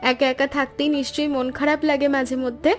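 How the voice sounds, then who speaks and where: Lady doing a sweet-mannered caring cartoon granddaughter, young, polite, high voice, soft music behind. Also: little pitch movement, fast talker, lightly acted. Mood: polite, friendly. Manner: polite, earnest. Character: caring, hardworking young granddaughter serving at family shop. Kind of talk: cartoon story dialogue.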